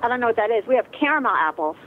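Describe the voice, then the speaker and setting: Woman, shop worker, phone customer service, fast speech, tinny line, faint noise. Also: a little high, lively intonation.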